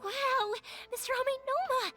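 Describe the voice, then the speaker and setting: An actress voicing an excitable, talkative, cheerful young woman who makes fireworks in a video game. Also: very high voice, a little slow, faint music behind, heavily acted. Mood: bright, admiring. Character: bubbly, chatty, energetic young woman fireworks maker.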